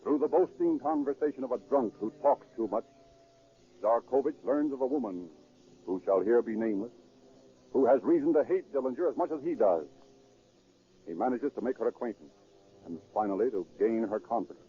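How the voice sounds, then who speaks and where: A man's voice: medium pitch, animated, a little fast, pauses often. A man narrating a true crime story in dramatic, scripted 1930s radio style. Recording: thin, tinny 1930s radio recording.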